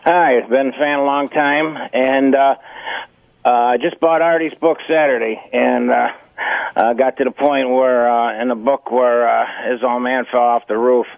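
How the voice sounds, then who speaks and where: An older man phoning in to a radio show, giving his opinion in a halting, rambling, casual way with some swearing. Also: medium pitch, steady tone, vocal fry, no echo. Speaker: phone-in caller. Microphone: telephone. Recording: tinny narrowband phone line.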